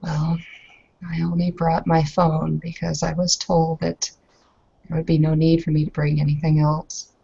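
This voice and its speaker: A woman's voice: sits low, monotone, unhurried, creaky, dark tone, slightly rough, muffled recording, full character voice. A woman playing a proud, tired young werewolf bride-to-be in a tabletop game, speaking formally and carefully with hesitant phrasing. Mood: stiff, polite.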